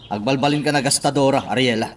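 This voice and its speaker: A man's voice: mid-range voice, brisk, a little hiss or hum, close to an everyday voice. A Filipino man playing an exasperated, stingy husband in a radio drama, scolding with emphasis. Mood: nagging.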